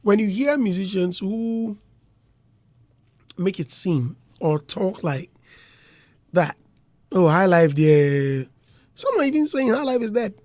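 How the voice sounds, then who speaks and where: A high-voiced man with a Ghanaian English accent hosts a radio show from the studio. He talks slowly and deliberately and stretches out his questions. The sound is dry and close, straight into a studio microphone. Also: lively intonation, a little mellow, no room sound. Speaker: radio host. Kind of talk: morning radio interview.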